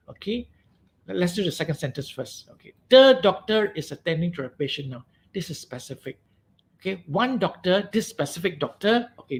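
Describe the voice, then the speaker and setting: Malaysian English male lecturer, high register, emphatic and repetitive, keeps asking "okay?". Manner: emphatic, repeats key words, frequent "okay?" checks. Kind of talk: webinar grammar lesson.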